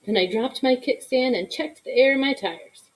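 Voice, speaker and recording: A woman reading a children's story aloud in an expressive style, with deliberate, dramatic emphasis. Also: sits high, low-quality recording. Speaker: storybook reader.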